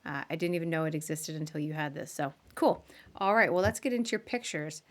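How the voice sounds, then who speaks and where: A woman's voice: low voice, a little fast. A woman hosting a podcast in a chatty, informal way, as in a casual live interview. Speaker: podcast host.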